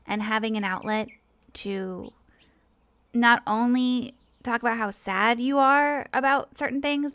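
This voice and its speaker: Woman, fairly high pitch, very dry recording, casual monologue, informal, earnest, hesitant, self-interrupting. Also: fairly bright tone, no echo. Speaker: online animator and video creator. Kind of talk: casual rambling personal monologue.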